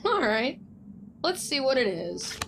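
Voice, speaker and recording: A woman's voice: sits high, very animated, unhurried, vocal fry, slight background noise, exaggerated voice. A woman playing a guarded, lovelorn young bride-to-be in an audio drama. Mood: guarded curiosity.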